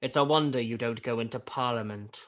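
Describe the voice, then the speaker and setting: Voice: mid-range voice, a little fast, natural voice. An actor playing a bitter, miserly old businessman in a radio play, scornful, emphatic and theatrical. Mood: cold, dismissive.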